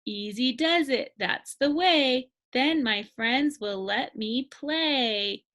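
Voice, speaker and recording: An exuberant young shark boy from a storybook, acted out by a woman, high-pitched and bright. He is loud, eager and excitable, stressing his words in a slow sing-song. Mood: earnest rhyming. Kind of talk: storybook character voice.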